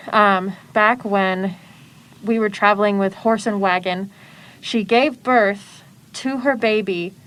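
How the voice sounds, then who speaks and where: A woman's voice: mid-range voice, fairly bright tone, clear, resonant tone. A woman in her twenties, a guest on an interview podcast, telling about her family and life in an earnest, matter-of-fact way. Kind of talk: casual interview conversation.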